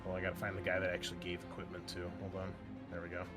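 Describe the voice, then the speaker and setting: A man's voice: deep voice, completely flat delivery, very fast, loud music behind the voice. A man working as game master, narrating a tabletop roleplaying game in an informal, wry and exclamatory way.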